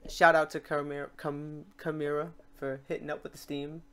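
Screencast tutorial host: medium pitch, casual and chatty, informal step-by-step instruction. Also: flat delivery, moderate pace, fairly bright tone, clean tone.

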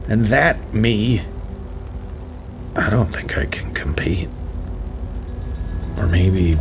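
A man acts out a weary radio host at the end of everything in an audio drama. He speaks slowly and haltingly, brooding and resigned, with wide swings in pitch. The sound is a dull broadcast. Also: lively intonation, noisy background, heavily acted. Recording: dull band-limited broadcast sound under music.

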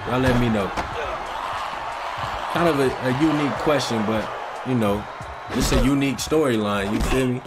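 A man's voice: mid-range voice, moderate pace, pauses often, over loud music. A young American man giving casual, slangy reaction commentary as a gaming video host. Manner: slangy, informal.

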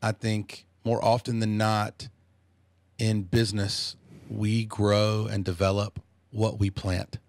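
A man in his fifties talking reflectively as a podcast guest, thoughtful and measured, with many restarts. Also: on the low side, little pitch movement, no room sound. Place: small podcast studio. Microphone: studio microphone on a boom arm.

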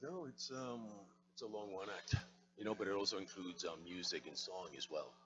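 Man from puerto rico, very deep voice, easygoing storytelling, handheld mic, dull lo-fi sound. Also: moderate pace. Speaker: actor guest. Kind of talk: casual interview talk.

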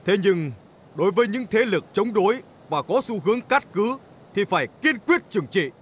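A man playing a resolute middle-aged emperor in an animated historical drama, with stately, declamatory delivery. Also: high-pitched, normal speed, husky. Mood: firm, measured. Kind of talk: animated historical drama acting, formal oratory.

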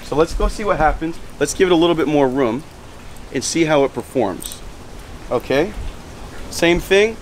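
A young man hosting a fishing channel, chatting casually to viewers outdoors and getting excited as he fishes. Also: a little high, normal speed, pauses often, a little hiss or hum, poor audio. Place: outdoors by a lake and a pool.

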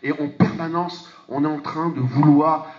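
A man's voice: a little high, steady tone, unhurried. A middle-aged man speaking to an audience in a conference hall on a handheld mic, passionate and emphatic, with rhetorical questions and frequent restarts. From France. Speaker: parliamentarian speaker on a panel. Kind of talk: impassioned public speech. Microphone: handheld microphone. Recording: echoey hall, dull lo-fi sound.

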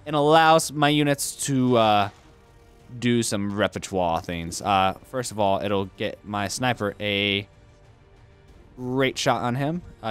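A young man commenting casually on a strategy game he is playing, joking around and arguing with himself. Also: mid-range voice. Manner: goofy, argues with himself in silly voices. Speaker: let's play host.

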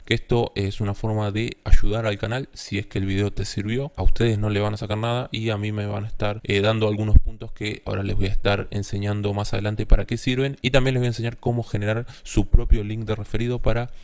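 Low-voiced man giving a tutorial. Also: level tone, fast, hardly any pauses, darkish tone, clean tone. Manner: often ends statements with a questioning "¿sí?" tag. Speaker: video tutorial host. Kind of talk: step-by-step screen tutorial narration.

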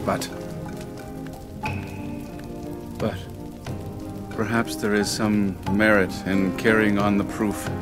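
An actor playing a ruthless, ambitious warlord of feudal Japan in a video game cutscene, speaking in a grave, commanding, portentous manner. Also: male voice, lowish voice, little pitch movement, measured pace, over music, lightly acted. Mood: cold, grave.

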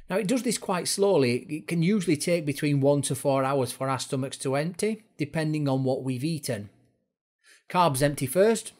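A man with a fairly high-set voice presents a lesson as a course instructor. He explains things informally and step by step, in the style of an educational narration. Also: darkish tone.

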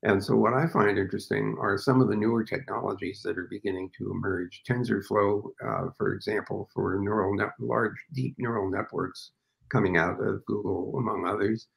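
A man's voice: on the low side, fairly even intonation, normal speed, creaky, rough recording. An older man speaking as an interview guest over a video call from a home office, explaining thoughtfully with frequent fillers. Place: home office with framed pictures, indoors. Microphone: video call webcam.

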